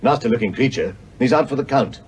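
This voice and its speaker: An actor playing a brisk, commanding male secret agent hero in an old radio serial. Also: mid-range voice, animated. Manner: clipped, commanding, crisp British. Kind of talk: 1940s radio acting. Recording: noisy old radio recording.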